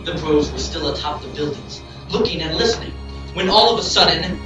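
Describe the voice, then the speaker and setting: A man's voice: on the high side, fairly quick, lo-fi sound. A man giving dramatic scripted narration for an audio story. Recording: very echoey, distant, loud music behind.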